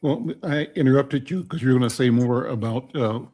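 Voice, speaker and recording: A man hosting a group video call interview, speaking slowly and searching for words with many pauses. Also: medium pitch, mellow. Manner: slow, searching, with many "uh" restarts. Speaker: host.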